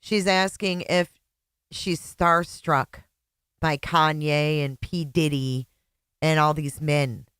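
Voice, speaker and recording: Woman, low voice, unhurried, smooth clear tone, dry close sound, casual reaction commentary. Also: steady tone, a bit bright, no echo. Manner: chatty, reacts with gasps and asides. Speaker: commentary vlogger reacting to clips.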